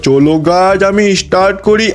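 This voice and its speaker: A man's voice: high-pitched, moderate pace, lightly acted. A man voicing an eager, puzzled creature in a cartoon game skit, exclaiming and asking questions.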